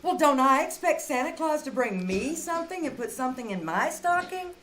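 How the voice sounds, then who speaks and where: A woman's voice: sits high, sing-song, brisk, a bit bright, a little hiss or hum. A woman playing a chatty, scatterbrained wisecracking lady reporter in a theatrical 1940s radio comedy style. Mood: chatty, breezy. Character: chatty, dizzy wisecracking newspaper reporter and movie star woman.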